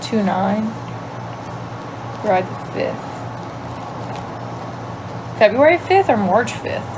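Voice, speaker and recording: A young woman from Louisiana with a light Cajun accent, chatting casually on a livestream and answering viewer questions, thinking aloud and trailing off into quiet asides. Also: medium pitch, big pitch swings, very slow, noisy background. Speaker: livestream host. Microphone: phone.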